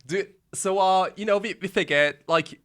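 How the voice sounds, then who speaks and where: A man's voice: high voice, normal speed, fairly bright tone. A man co-hosting a podcast in casual, slangy, blunt conversation. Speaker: podcast co-host. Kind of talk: casual chat.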